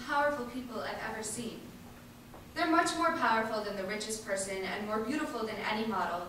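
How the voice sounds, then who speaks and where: Echoey, higher-voiced woman reading publicly. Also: fairly bright tone. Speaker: reader at a staged public reading. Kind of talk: spoken reading of speeches and introductions. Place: large indoor hall at a public event. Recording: echoey hall from far off, faint noise.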